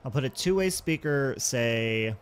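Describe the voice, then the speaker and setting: A man's voice: medium pitch, expressive, unhurried, clear, resonant tone. A man gives casual let's-play commentary as a gaming host, thinking aloud and talking through each step.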